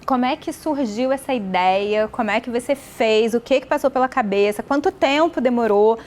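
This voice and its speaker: Brazilian woman hosting, slightly high voice. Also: normal speed, a little mellow. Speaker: podcast host. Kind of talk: conversational interviewing.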